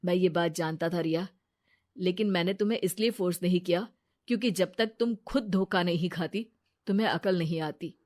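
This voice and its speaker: A woman's voice: lowish voice, normal speed, darkish tone, lightly acted. A woman voicing a stern, distrustful husband, blunt and scolding, in a moral story.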